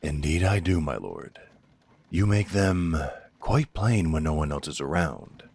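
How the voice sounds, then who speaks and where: Deep, husky werewolf right-hand man, acted by a man. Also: very animated, a little slow, a little mellow, full character voice. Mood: wry. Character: loyal, blunt, defiant werewolf right-hand man to a duke. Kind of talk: fantasy audio drama acting.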